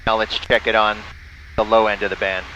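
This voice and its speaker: Bright-voiced man narrating from a small plane. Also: fairly low pitch, level tone, brisk, muffled recording. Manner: spells out callsigns in phonetic alphabet. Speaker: pilot and amateur radio operator. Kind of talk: casual in-flight narration and radio calls. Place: small airplane cockpit in flight. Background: faint vehicle engine noise.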